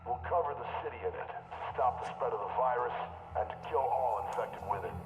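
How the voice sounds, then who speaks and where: Actor as a smug officer in an echoing hall. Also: male voice, mid-range voice, brisk, over music, close to an everyday voice. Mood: smug, menacing. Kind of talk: video game cutscene speech. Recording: echoey hall, loud background noise.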